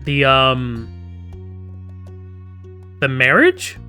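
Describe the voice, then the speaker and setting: A man's voice: mid-range voice, huge pitch swings, extremely slow, pushed and bright, clear, resonant tone, soft background music. A man hosting a gaming live stream, playfully reading story text aloud and breaking off into theatrical, jokey asides.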